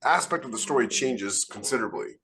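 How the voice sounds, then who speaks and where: A man's voice: medium pitch, animated, fairly quick, a crowd in the background. A man co-hosting a podcast, speaking casually in a panel discussion. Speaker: podcast co-host, former soldier.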